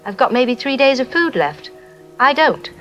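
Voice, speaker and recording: A woman playing a frightened, trapped eyewitness calling in to a radio drama, speaking in bleak, halting short phrases. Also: high-pitched, very animated, fairly bright tone, soft background music, heavily acted. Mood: despairing. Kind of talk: phone-in testimony in an audio drama. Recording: dull lo-fi call-in sound.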